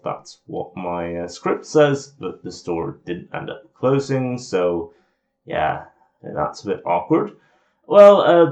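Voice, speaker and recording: A man giving an informal, scripted game review voice-over in an opinionated, grumbling, self-deprecating way. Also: fairly low pitch. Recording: muffled lo-fi home voice recording.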